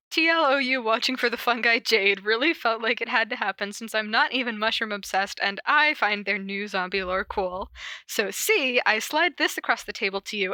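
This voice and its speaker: Female podcast host, very bright higher voice, playful and giggly, casual delivery, dry close sound. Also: no echo. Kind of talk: casual podcast chat with reading aloud of notes.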